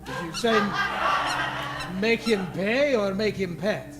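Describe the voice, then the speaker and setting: A man's voice: high-pitched, takes it slowly, roomy sound, over loud music. A man acting an oily, scheming space villain in an old-time radio play, hammy and savoring his words. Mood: gloating.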